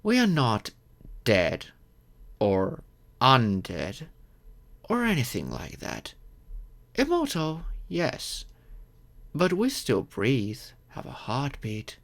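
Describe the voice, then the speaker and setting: A man playing a courteous, gentle, wealthy old vampire in an audio roleplay, speaking reassuringly and earnestly to the listener. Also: mid-range voice, wildly swooping pitch, creaky, heavily acted. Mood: patient, explaining. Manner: gentle, reassuring, unhurried with thoughtful pauses.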